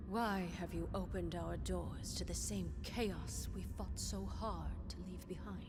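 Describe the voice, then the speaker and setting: A woman's voice: lowish voice, steady tone, measured pace, loud music behind the voice. A woman voicing a regal, stern video game queen with a formal, imperious manner. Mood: stern, reproachful. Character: regal, stern queen of a hidden superhuman people. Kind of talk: scripted video game acting.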